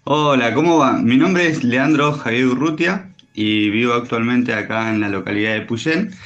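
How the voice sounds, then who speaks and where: A man answering informally as an interview guest, explaining his work with pauses. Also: medium pitch, a bit bright, dull audio. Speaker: interview guest, tattoo artist.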